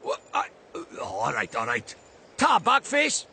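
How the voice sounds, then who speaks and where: An actor with a Welsh accent playing a cheeky, excitable little fairy sidekick in an animated fantasy game, brash and chirpy. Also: male voice, fairly high pitch, a little slow, a little hiss or hum, full character voice.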